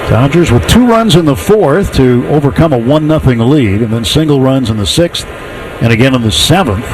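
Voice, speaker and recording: A man with an American accent doing live radio play-by-play of a baseball game in measured, descriptive calls. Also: medium pitch, animated, background noise. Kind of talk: live radio sports commentary.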